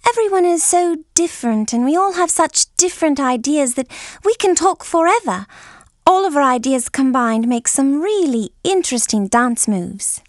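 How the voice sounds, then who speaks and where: Teenage girl, English accent, high voice, dreamy and friendly, dry studio sound, game character. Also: no room sound. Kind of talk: scripted game character lines.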